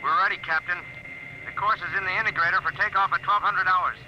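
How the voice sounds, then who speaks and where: An actor in a 1950s radio drama playing a crisp, confident, dutiful young navigation lieutenant. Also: male voice, high voice, steady tone, quick pace, lightly acted. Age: young adult. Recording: muffled, noisy old radio intercom.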